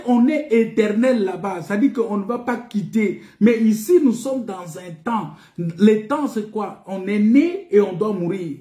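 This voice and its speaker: Male preacher, high but mellow voice, didactic and repetitive, echoey indoor recording. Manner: emphatic, repetitive, didactic with rhetorical questions. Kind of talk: sermon, teaching scripture. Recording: echoey, reverberant room.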